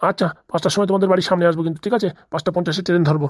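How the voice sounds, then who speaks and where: A woman's voice: fairly low pitch, steady tone, racing, no room sound, studio quality, lightly acted. A performer, heard as a woman, voicing a worried middle-aged father in a comedy cartoon, colloquial and fretful, often repeating things. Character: worried middle-aged father and future father-in-law, chatty, caring.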